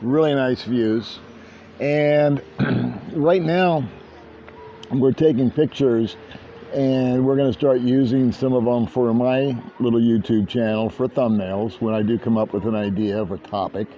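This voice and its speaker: A man's voice: medium pitch, fairly even intonation, a little slow, dark tone, slight background noise. An older man chatting casually to camera at a beach resort, rambling and self-deprecating. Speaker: vlogger. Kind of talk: casual vlog chat. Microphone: handheld small camera. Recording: dull lo-fi outdoor audio.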